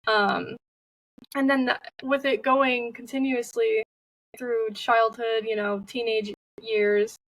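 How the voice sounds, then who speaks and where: A woman's voice: fairly high pitch, fairly even intonation, slow pace, no echo, far from the mic, poor audio. A young woman speaking as an interview guest, candid and open with frequent fillers. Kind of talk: personal interview talk.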